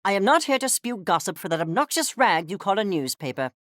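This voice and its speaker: An obsessive little creature of science for a video game. The delivery is pompous and clipped, with exact academic diction and lively swings in pitch. The temper is coldly curious and scornful, heard in a dry, close studio sound. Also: female voice, a little fast, dry recording. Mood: disdainful, irritated. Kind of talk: video game character voice lines.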